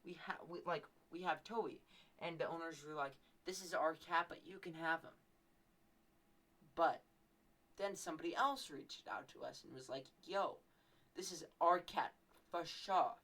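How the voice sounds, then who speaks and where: A man's voice: on the high side, a little slow, bright, forceful tone. A young man hosting a podcast, telling a story casually in a rambling, playfully exaggerated way. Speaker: podcast host.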